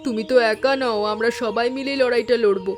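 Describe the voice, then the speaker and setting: A middle-aged woman voicing a kindly, steadfast village mother in an animated family drama. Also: a little high, quick pace, lightly acted. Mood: soothing. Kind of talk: animated moral story voice acting. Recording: faint music behind the voice.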